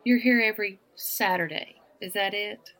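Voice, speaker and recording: A woman's voice: mid-range voice, moderate pace, a bit bright. A woman from the American South with a Southern accent, teasing and flirtatious in a one-sided audio roleplay, laughing often. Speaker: woman flirting with a stranger at a bar.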